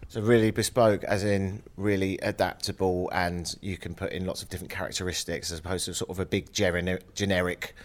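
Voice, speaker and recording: Male podcast host, deep voice, slightly congested from a cold, chatty interviewing. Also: little pitch movement, a little fast, hardly any pauses. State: stuffed-up nose from a cold. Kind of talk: casual interview chat.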